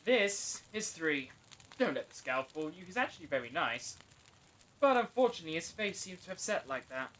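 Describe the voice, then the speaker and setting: A woman's voice: sits low, very animated, moderate pace, fairly bright tone, low-quality recording. A woman playing a cheeky, teasing captive in an audio drama. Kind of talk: fan audio drama acting.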